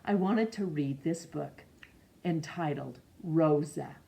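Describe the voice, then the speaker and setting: A middle-aged woman reading a picture book aloud in a measured, expressive way for children. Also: sits low, gravelly. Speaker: storytime reader. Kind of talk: read-aloud storybook narration. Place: small room indoors.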